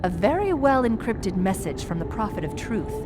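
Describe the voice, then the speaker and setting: A woman voicing a confident AI companion in a game, giving crisp, urgent tactical briefings. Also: medium pitch, very animated, normal speed, natural voice. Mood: dry, analytical. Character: sharp, confident female AI companion, briefing a soldier. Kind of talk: game cutscene voice acting. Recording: loud game score underneath. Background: loud music.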